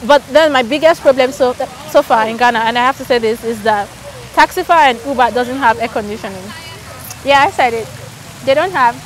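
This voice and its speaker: High-voiced Nigerian woman, chatty and candid. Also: fairly quick, rough recording. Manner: chatty, candid, animated storytelling. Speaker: interviewee. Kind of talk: casual outdoor interview.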